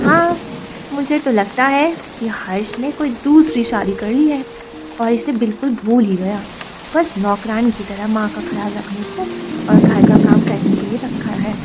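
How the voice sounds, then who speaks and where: A woman voicing snide gossiping neighbourhood women in an animated story. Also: a little high, background noise, natural voice. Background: background music.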